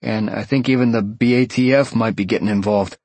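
A man's voice: mid-range voice, fairly even intonation, a little fast, a little mellow, dry recording, pitched above the performer's own voice, natural voice. A man voicing a concerned, paternal police detective in an audiobook, official and matter-of-fact.

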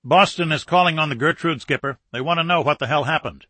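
An alert submarine crewman, acted by a male narrator giving crisp, urgent reports in an audiobook. The voice is slightly raised and bright, recorded dry and close. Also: a little high, quick pace, no room sound, voice pushed up. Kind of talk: audiobook character acting.

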